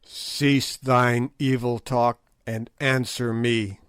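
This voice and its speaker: A man voicing a stern king who speaks in grave, slow, archaic commands. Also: medium pitch, flat delivery, fairly bright tone, clear, resonant tone, dry recording, clean studio sound.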